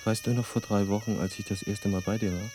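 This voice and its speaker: An actor playing an uneasy American writer in a naturalistic radio drama, talking in a hesitant, probing way. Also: male voice, on the low side, fairly even intonation, fast talker, music in the background, lightly acted. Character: uneasy American male writer, haunted by a violent deed. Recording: clear background noise of chirping crickets. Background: crickets, outdoor ambience.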